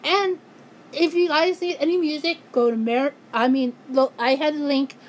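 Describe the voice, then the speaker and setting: A woman ranting angrily in a vlog, hoarse and losing her voice, often breaking off and restarting her sentences. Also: sits high, steady tone, moderate pace. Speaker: YouTuber.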